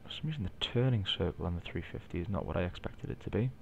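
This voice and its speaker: Deep-voiced Geordie man narrating gameplay. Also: fast, gravelly, muffled recording, a little hiss or hum. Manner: relaxed, explanatory, thinking aloud with light asides. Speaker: flight simulator video maker. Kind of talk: casual narrated gameplay walkthrough.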